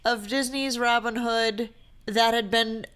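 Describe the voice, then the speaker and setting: A woman's voice: on the high side, fairly even intonation, takes it slowly, fairly bright tone, clear, resonant tone. A woman in her thirties co-hosting a podcast, chatting casually and reading posts aloud in a wry, playful way. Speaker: podcast co-host.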